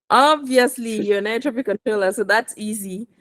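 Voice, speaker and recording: A woman's voice: a little high, moderate pace, a bit bright, no echo. Woman teaching English one-to-one, dry close sound. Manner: instructive, correcting, enunciates words for repetition. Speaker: English teacher coaching aviation English. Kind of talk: one-to-one language lesson.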